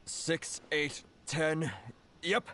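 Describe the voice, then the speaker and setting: An actor voicing a young merchant in a video game, earnest, thankful and a little flustered. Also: male voice, a little high, very slow, background noise.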